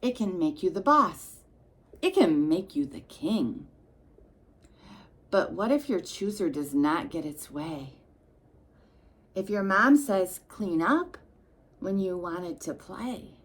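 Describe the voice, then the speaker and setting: Female storytime reader for little kids. Unhurried delivery, lively swooping pitch, talks directly to the children. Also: husky. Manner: expressive, sing-song, addressing children directly. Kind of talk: read-aloud storytime for young children with chatty asides.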